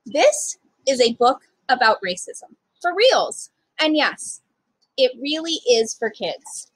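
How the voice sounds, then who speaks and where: A woman with a high-pitched voice reads a picture book aloud to young kids. Her intonation swings widely and expressively, and she addresses her little listeners directly. The sound is dry and close, with very little room in it. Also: very animated, slow pace, a bit bright, slightly rough, no echo, poor audio. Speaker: children's storytime reader. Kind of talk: read-aloud to young children.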